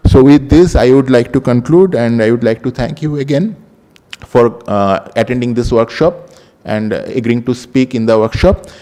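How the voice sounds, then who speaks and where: A man giving a formal welcome as workshop host, halting and full of fillers. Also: mid-range voice, warm tone. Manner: halting, many fillers and restarts. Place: large lecture hall. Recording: reverberant hall sound.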